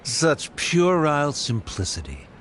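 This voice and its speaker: A man's voice: a little high, huge pitch swings, unhurried, a bit bright, a little hiss or hum, full character voice. A man voicing a haughty, stern scholar father in a video game. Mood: disdainful, relieved.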